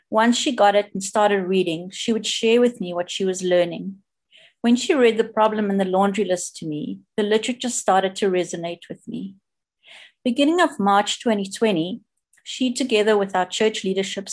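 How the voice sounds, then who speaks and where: A South African woman sharing her personal story in a plain, steady way over a video call. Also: mid-range voice.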